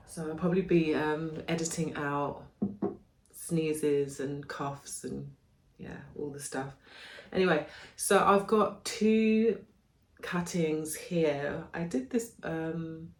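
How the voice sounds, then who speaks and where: Low-voiced woman, snuffly with a cold. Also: takes it slowly, darkish tone. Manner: rambling, chatty, self-correcting. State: stuffy with a cold. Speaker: plant vlogger. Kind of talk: chatty plant care vlog. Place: home room with houseplants.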